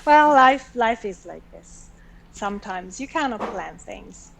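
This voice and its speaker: A woman's voice: on the high side, animated, measured pace, creaky, slight background noise, low-quality recording. A woman in her forties from Italy with an Italian accent, her native tongue Italian, answering interview questions in a friendly, plainspoken way. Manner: friendly, plainspoken, laughs lightly. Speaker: interview guest. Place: home in Germany on a video call.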